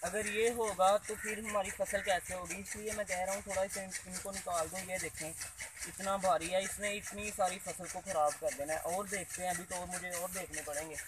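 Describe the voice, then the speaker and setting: A man's voice: high-pitched, fairly even intonation, fairly quick. Young man vlogging outdoors. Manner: chatty, pointing things out to viewers. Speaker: village vlogger. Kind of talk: casual vlog commentary. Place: outdoors in rural rice fields. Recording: outdoor noise on camera mic. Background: open-air rural ambience.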